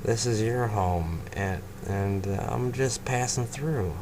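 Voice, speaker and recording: A man voicing an easygoing young male farm pony, reading his lines slowly and relaxed. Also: fairly low pitch, noisy background.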